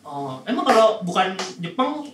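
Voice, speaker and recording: High-pitched, animated young man. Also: moderate pace, distant mic. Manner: teasing, playful. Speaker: podcast host. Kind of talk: casual joking group chat. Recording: roomy, off-mic, faint noise.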